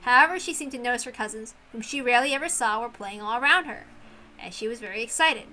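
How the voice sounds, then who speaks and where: A woman's voice: on the high side, fast, pushed and bright, slight background noise, rough recording. A woman reading a visual novel aloud in a dramatic storytelling style. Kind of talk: dramatic story narration with character voices.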